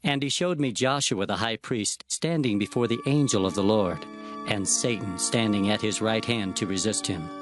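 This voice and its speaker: A man's voice: on the low side, moderate pace, natural voice. A man playing an earnest, awed prophet who recounts his visions reverently and expressively as narrator of dramatized scripture. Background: faint music.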